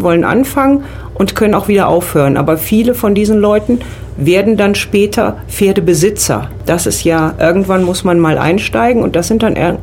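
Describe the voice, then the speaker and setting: A woman's voice: medium pitch, darkish tone, slight background noise. A middle-aged woman from the Rhineland with a Rhineland German accent, a riding instructor answering questions as a studio guest on a radio show. She explains things step by step and uses fillers.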